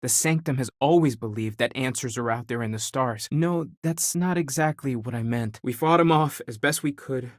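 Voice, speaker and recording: A man's voice: medium pitch, moderate pace, hardly any pauses, dry recording, lightly acted. Earnest, thoughtful male space explorer played by an actor, dry studio sound. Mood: earnest, focused. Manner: sincere, thoughtful, conversational. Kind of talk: video game voice acting.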